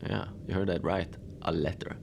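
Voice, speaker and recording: Over a noisy ambient bed comes an actor as a quirky male manager of a remote polar research station. His voice sits very low with a gravelly fry. He rambles conversationally and deadpan, full of hesitant asides, as if logging his shift for an audio drama. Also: normal speed. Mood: bemused, chatty. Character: chatty, wry male Antarctic research facility manager, quirky and folksy. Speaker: host character of an audio drama, facility manager. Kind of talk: conversational monologue, log-style audio drama.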